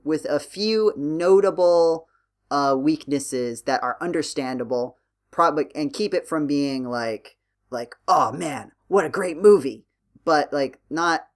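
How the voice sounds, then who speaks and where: Man chatting casually, rambling as he goes. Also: fairly high pitch. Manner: halting and rambling, rephrasing as he goes. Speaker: podcast co-host discussing films. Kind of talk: casual podcast chat.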